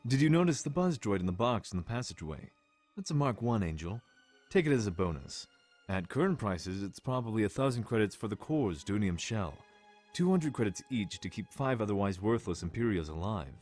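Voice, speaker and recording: An actor playing a persuasive space pirate leader, controlled and wry, in an audio comic dub. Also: male voice, on the low side, sing-song, fairly quick, a little mellow, soft background music. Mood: controlled, bargaining. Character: smooth-talking, bargaining male space pirate leader.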